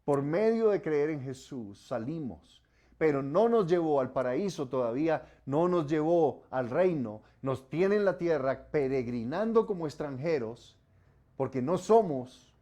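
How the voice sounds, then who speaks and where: A man from Colombia, preaching a sermon as pastor, rising on commands and dropping low and soft on solemn warnings. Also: lively intonation, a little slow, muffled recording.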